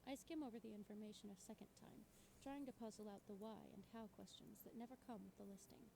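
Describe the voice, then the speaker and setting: A determined female investigator played by a woman narrates her own cyberpunk story. Her voice sits at a middle pitch, with measured, expressive phrasing and a wry edge, as in a visual novel. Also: vocal fry, a little hiss or hum, close to an everyday voice, poor audio. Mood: reflective, resolved. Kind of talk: scripted first-person narration.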